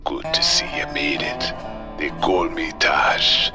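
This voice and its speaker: A man playing a shady, confident mercenary in a video game, talking in a sly, deliberate way. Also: mid-range voice, sing-song, music in the background. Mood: sly, inviting. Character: shady, confident mercenary offering a risky deal. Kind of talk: video game cutscene dialogue.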